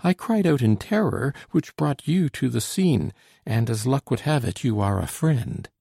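A man's voice: medium pitch, lively intonation, unhurried, creaky, dark tone, husky, no room sound, clean studio sound, voice pushed up, full character voice. A man voicing a frightened young woman who pleads anxiously and politely, a trembling voice in a spoken story. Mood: pleading.

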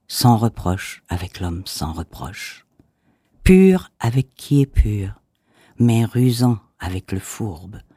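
A man reads scripture in an unhurried, reverent cadence, pausing between each short phrase. His voice is warm and mellow, sitting in a middle register. The recording is dry and close, with no room sound. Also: lowish voice, breathy edge, no room sound. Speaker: scripture reader. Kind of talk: slow solemn Bible reading.

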